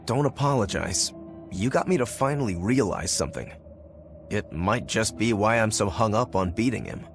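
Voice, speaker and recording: Casting: determined game swordsman, low voice, easygoing and straightforward, light music behind. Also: lively intonation, moderate pace. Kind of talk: English video game dub acting.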